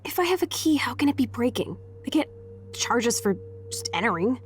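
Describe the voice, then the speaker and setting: A woman's voice: on the high side, very animated, fairly quick, slight background noise. A young American woman playing a rebellious, sarcastic, swaggering teenage girl in a video game. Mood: brash, determined. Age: young adult. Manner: sardonic, swaggering. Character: brash, rebellious, sarcastic teenage girl, foul-mouthed punk.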